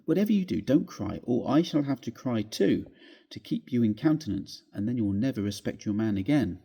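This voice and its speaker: A man's voice: mid-range voice, sing-song, fairly quick, gravelly, mellow, husky, full character voice. A man voicing a doting, concerned young husband in a storybook reading, earnest and coaxing. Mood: tenderly coaxing.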